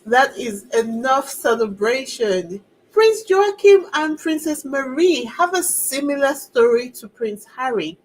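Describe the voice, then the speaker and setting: Woman chatting about royal news, slow and easy, bit of hiss in the background. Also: fairly high pitch, low-quality recording. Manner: chatty, sarcastic asides with laughs. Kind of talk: casual chat to viewers.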